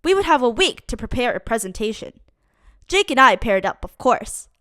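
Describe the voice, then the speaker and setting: A woman giving a lively, expressive read-aloud of a children's picture book. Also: a little high, normal speed, no echo, studio quality. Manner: lively, expressive reading for kids.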